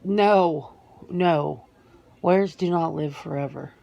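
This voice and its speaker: Low, slow, creaky woman playing a guarded werewolf. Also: warm tone, muffled recording, exaggerated voice. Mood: matter-of-fact, reflective. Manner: hesitant, matter-of-fact, halting with pauses. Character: guarded, earnest female werewolf giving an interview. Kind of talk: ASMR roleplay, one side of an interview.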